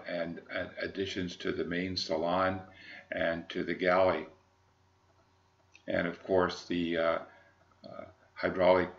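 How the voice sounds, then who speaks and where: American guy doing a boat sales tour, really slow and halting, low voice, bit muffled. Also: vocal fry. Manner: halting, measured, formal phrasing. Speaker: yacht broker presenting a boat. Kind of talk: unscripted sales walkthrough narration.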